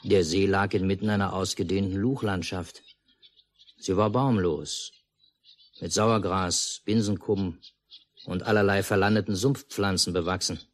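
An actor playing a calm storyteller in a 1950s radio play, narrating a lakeside village tale in measured, literary phrases. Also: male voice, deep voice. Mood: measured storytelling. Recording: noisy 1950s mono radio recording.

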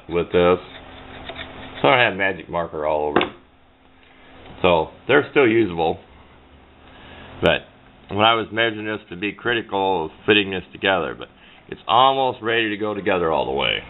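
A low-voiced middle-aged man talks through a hands-on demonstration casually. He rambles in a plain-spoken way and corrects himself along the way. The recording is dull and lo-fi, with noticeable noise behind him. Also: animated, moderate pace, long pauses. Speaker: hobbyist demonstrating a homemade radio antenna build. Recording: low-fi muffled sound with clear background noise.

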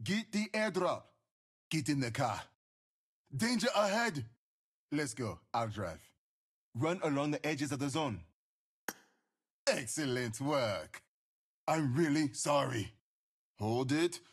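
A man's voice: mid-range voice, slightly rough, exaggerated voice. An actor playing a spirited young male survival expert in a video game, calling out short lines to his squad in a casual, streetwise manner. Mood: urgent.

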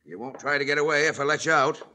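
An actor playing a stubborn old frontier sheriff with a folksy drawl in a 1950s radio western. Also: male voice, on the high side, fast, bright, forceful tone. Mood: suspicious. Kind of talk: 1950s radio drama acting.

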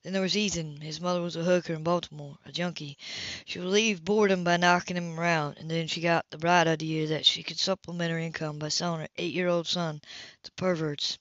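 A woman's voice: deep voice, fairly even intonation, brisk, fairly bright tone, no echo. A woman voicing a tough, streetwise man in an audiobook, matter-of-fact and cynical about a hard past. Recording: dull lo-fi home recording.

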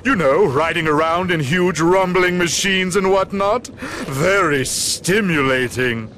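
An actor playing a vain, gloating mad scientist villain in a cartoon video game, pompous and theatrical. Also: male voice, sits high, slow pace, fairly bright tone, slight background noise. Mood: boastful.

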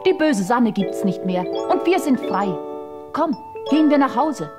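A woman's voice: high voice, very animated, measured pace, loud music behind the voice. An actress playing a loyal, tender young girl with an earnest, childlike manner in a fairy-tale audio play. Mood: happy, relieved.

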